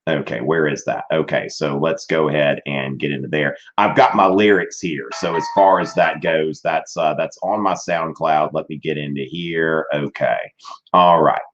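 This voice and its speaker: A man's voice: extremely low pitch, steady tone, normal speed, fairly bright tone, muffled recording. A man in his thirties teaching a livestreamed history class from a small room, his voice strained, rambling with fillers and checking in with "okay?". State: voice strained from streaming. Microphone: webcam at desk.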